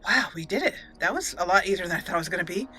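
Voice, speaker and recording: A woman giving casual, excitable commentary while playing a video game, talking to the characters as she goes. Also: fairly low pitch, rapid-fire, slight background noise. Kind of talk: casual let's play commentary.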